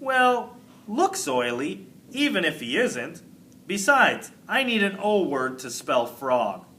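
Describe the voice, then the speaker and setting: Man voicing a cheeky, bright-voiced schoolboy class clown. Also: high-pitched, sing-song, slow, recorded from a distance, pitched above the performer's own voice, heavily acted. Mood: defensive.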